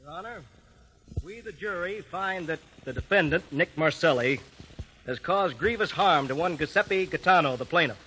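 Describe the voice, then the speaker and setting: Solemn, higher-voiced jury foreman. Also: lively intonation, bright, forceful tone. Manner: formal, deliberate. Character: solemn male jury foreman delivering a verdict. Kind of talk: 1950s radio acting. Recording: faintly noisy old radio recording.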